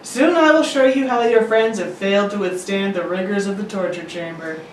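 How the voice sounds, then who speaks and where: A woman's voice: medium pitch, moderate pace, reverberant, distant mic, a little hiss or hum, close to an everyday voice, rough recording. A woman voicing a boastful, commanding male king of a winged race, with a playful, mock-regal delivery in a comic table read of an old radio serial.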